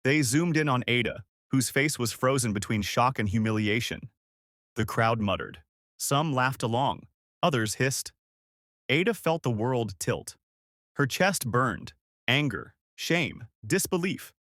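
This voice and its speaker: Male story narrator, medium pitch, very lively intonation, dramatic and suspenseful, emphatic phrasing. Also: a little slow, no echo, studio quality.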